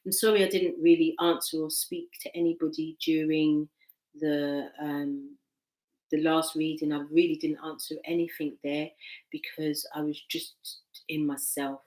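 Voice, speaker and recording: A woman giving a live tarot reading from home on a laptop mic, veering from excited outbursts to soft murmuring. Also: sits low, measured pace. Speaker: tarot reader. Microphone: laptop microphone. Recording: echoey room, laptop mic.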